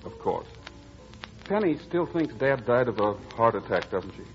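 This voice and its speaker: A man's voice: medium pitch, lively intonation, normal speed, close to an everyday voice. An actor playing a breezy, impatient young man in old-time radio drama, boyish and slangy. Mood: probing, reflective. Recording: muffled old radio recording, music behind.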